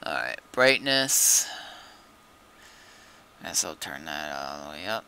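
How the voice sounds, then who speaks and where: A man's voice: a bass voice, big pitch swings, takes it slowly, vocal fry, pushed and bright, slight background noise. A man chatting casually and muttering to himself as he plays a game on stream. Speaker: streamer playing a zombie survival game. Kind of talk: casual gaming chat.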